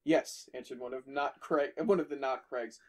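A man's voice: mid-range voice, fairly even intonation, normal speed, darkish tone. A man reading a horror story aloud on a podcast, stopping for jokey asides to his friends. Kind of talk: casual story reading with banter.

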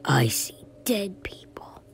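A woman voicing a tormented, grieving villain in a cartoon, brooding and menacing in a hoarse, breathy whisper. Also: takes it slowly, natural voice.

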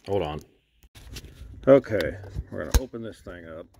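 A man's voice: deep voice, normal speed, creaky, background noise. A middle-aged man casually narrating a repair job on a pickup truck, talking as he works with his hands. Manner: relaxed, talks while working with his hands. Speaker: mechanic showing a repair. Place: inside a pickup truck and at its engine bay outdoors.

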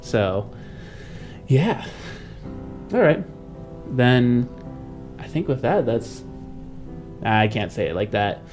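A man's voice: mid-range voice, sing-song, slow, long pauses, a little mellow. Young man chatting casually over music. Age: young adult. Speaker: podcast host and game master. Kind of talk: casual podcast chat.

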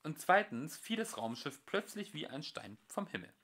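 A young man narrating a home-made audiobook with lively, expressive storytelling. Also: on the high side, moderate pace. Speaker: audiobook reader. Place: home recording.

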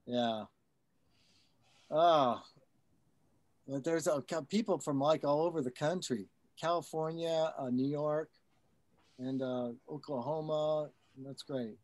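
A man's voice: on the high side, slow pace, long pauses, darkish tone, no room sound. A man chatting casually and appreciatively after a poetry reading, with self-effacing, joking remarks. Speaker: poet who has just given a reading.

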